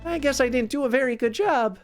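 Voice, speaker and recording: A woman voicing a plucky, excitable young male monkey in a storybook, speaking in an eager, emphatic way. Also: high-pitched, a little fast, faint music behind, voice pushed up, lightly acted. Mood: regretful.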